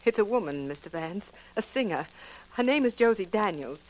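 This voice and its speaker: A woman's voice: mid-range voice, animated, moderate pace, darkish tone, close to an everyday voice, rough recording. A woman playing a genteel, anxious wealthy wife in 1940s radio drama, earnest, formal and hesitant. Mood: anxious, pleading.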